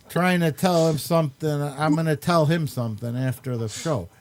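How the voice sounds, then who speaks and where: Man, host, fairly high voice, chatty, laughs a lot, filler words, video call mic. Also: expressive, unhurried, a little mellow. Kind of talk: casual live show chat.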